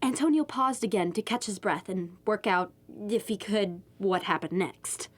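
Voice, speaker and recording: A woman's voice: medium pitch, moderate pace, natural voice. A woman narrating a storybook audio drama as a scripted storyteller. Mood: storytelling. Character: storybook narrator of a brigand tale. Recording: music bed behind the voice.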